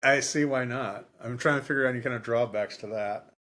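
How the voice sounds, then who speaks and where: A man co-hosting a podcast in casual chat, thinking aloud in a halting way. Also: mid-range voice, a bit bright. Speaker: podcast co-host.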